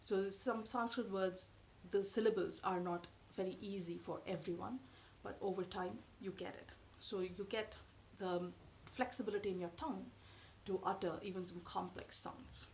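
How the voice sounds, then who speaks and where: A woman in her thirties whose first language is Tamil, talking to camera from a sofa in her living room in a measured, thoughtful way with frequent pauses. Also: medium pitch, fairly even intonation, darkish tone, a little hiss or hum. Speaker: vlogger. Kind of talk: explanatory talk to camera. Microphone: camera in front at close range.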